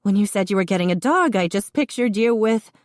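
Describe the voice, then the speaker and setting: A woman's voice: mid-range voice, lively intonation, fairly quick, no room sound, studio quality, pitched above the performer's own voice, lightly acted. A woman voicing a heavily pregnant young woman who teases her brother in a bubbly, gushing way. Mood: teasing.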